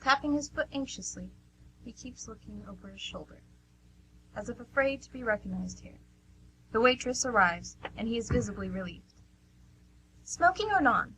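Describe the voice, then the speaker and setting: A woman's voice: on the high side, normal speed, fairly bright tone. A woman reading scripted stage directions as the narrator of an amateur radio play. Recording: noisy amateur radio recording with hum.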